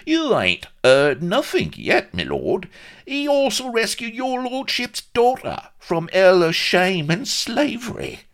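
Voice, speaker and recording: High, indignant Cockney agent. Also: male voice, very animated, a little slow. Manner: indignant, wheedling, mock-respectful. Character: wily, prim, self-pitying Cockney business agent.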